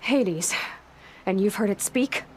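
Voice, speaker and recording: A woman's voice: medium pitch, slow pace, darkish tone, slight background noise, close to an everyday voice. An actress voicing a determined young woman hunter who asks direct, probing questions, in a video game.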